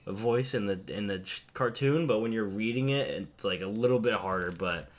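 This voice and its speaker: A man hosting a casual comic haul video, a bit hoarse and low energy from a head cold, rambling with lots of fillers. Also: normal speed. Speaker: comic book channel host.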